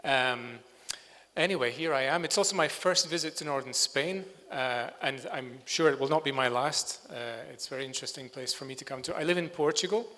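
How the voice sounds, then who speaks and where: A man's voice: mid-range voice, fairly quick, bright, forceful tone. A man from Scotland giving a conference presentation in a lecture hall, speaking hesitantly and searching for words. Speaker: bird sound recordist giving a talk. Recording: reverberant hall.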